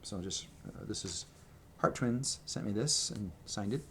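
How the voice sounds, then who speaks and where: A man's voice: fairly low pitch, lively intonation, a little slow, a bit bright, a little hiss or hum. Chatty, rambling man on camera. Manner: chatty, meandering, many fillers and restarts. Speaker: vlogger showing his merch. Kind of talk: rambling casual show-and-tell. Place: home room with gray walls and a red accent wall. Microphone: camera microphone, speaking to camera at a table.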